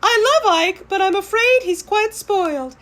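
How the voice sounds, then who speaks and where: A frazzled dog owner in a picture-book reading, pitched up high and pushed loud, as a woman reading aloud puts her on. She sounds strained and close to tears, with plenty of force behind each complaint. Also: expressive, measured pace, exaggerated voice. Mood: exasperated. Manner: shrill, near tears.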